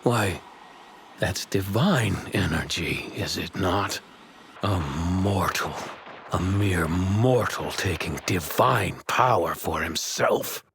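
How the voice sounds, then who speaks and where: A man in an anime dub voicing a brooding, calculating divine villain in a measured, formal, ominous manner, with effects noise under the voice. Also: low voice, lively intonation, gravelly, a bit bright, exaggerated voice. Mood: intrigued, scornful. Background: ambient sound effects.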